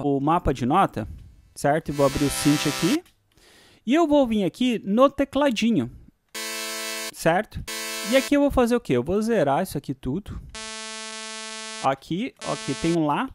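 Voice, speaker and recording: A man's voice: high voice, noisy background. A Brazilian man hosting a casual music production screencast, thinking aloud and often checking in with short tag questions. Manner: informal, frequent tag questions. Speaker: music production tutorial host. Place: indoors, voice-over on a screen recording.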